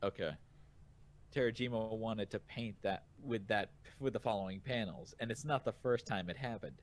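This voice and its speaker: A man co-hosting a casual podcast, reading listener comments aloud haltingly and rephrasing as he goes. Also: lowish voice. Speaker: podcast co-host reviewing manga.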